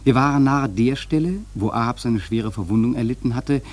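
Man acting a husky, solemn seafaring narrator. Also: mid-range voice, lightly acted. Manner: solemn, measured storytelling. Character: grave sailor narrator recalling a doomed whaling voyage. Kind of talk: literary narration in a German radio drama.

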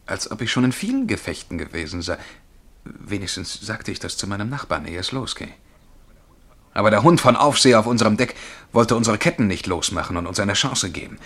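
An actor playing a haunted young man who recounts a dream of being a galley slave, telling it intensely and haltingly in a German radio play. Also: on the low side, sing-song, bright, forceful tone, breathy edge, heavily acted. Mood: bitter, brooding. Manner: intense, vivid, halting at times. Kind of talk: German radio play acting, dramatic storytelling.

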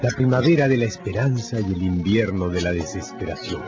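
A man's voice: sits low, lively intonation, a little fast. A man narrating a radio drama from a script in a theatrical, solemn manner, with music behind him. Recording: dull radio sound with prominent music.

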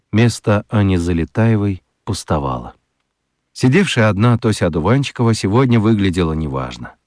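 A man narrating a children's radio drama as an expressive storyteller, reading scripted literary prose. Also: lowish voice, no echo, studio quality.

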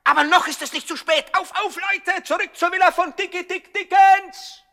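An actor playing a hot-tempered, domineering gangster boss with a commanding, blustering manner in a 1960s radio crime comedy. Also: male voice, very high voice, steady tone, moderate pace, vocal fry, bright, forceful tone, exaggerated voice. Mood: furious, rousing.

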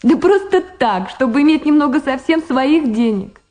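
An actress playing a shy, polite, modest young student in a radio drama, speaking hesitantly and with reserve. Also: female voice, high voice, lively intonation, moderate pace, darkish tone. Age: young adult. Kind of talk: Soviet radio drama acting.

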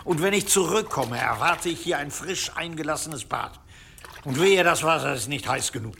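A man's voice: on the high side, expressive, moderate pace, creaky, noisy background. An actor playing a pompous, impatient medieval bishop in a radio drama, grumbling and fond of his comforts. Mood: irritated, imperious. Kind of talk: German radio drama acting.

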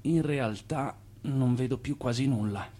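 An ailing old swindler in a radio play. His husky voice sits at a medium pitch, weary and wistful. He stays proud and stubborn, delivering his words theatrically. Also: a little slow, warm tone. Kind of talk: radio drama acting.

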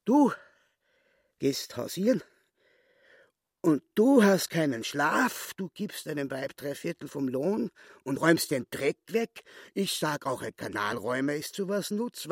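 A histrionic little swindler boss in a radio play, self-pitying and wheedling. The voice creaks and rasps, drawing words out slowly in a very dry, close studio sound. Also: male voice, on the high side, no room sound, exaggerated voice. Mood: bossy, cajoling. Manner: theatrical, wheedling, emphatic pauses.

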